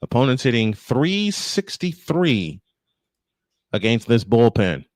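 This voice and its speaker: Male play-by-play host, medium pitch, dry sound, conversational live sports commentary with banter. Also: big pitch swings, takes it slowly, a little mellow, no room sound.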